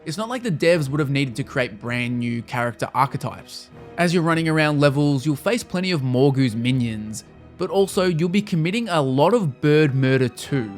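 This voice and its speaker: Higher-pitched man narrating a wry video review. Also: lively intonation, normal speed, soft background music. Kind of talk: scripted video essay narration.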